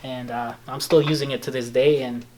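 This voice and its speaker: A man's voice: mid-range voice, slight background noise. Late-20s man, Spanish first language, light Belizean Creole accent, easygoing rambling talk, small office, camera mic. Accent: Belizean Creole-tinged English. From El Salvador. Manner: relaxed, halting with many fillers. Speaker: interviewee. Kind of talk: casual storytelling chat. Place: small office room, indoors. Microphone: camera mic at a few metres.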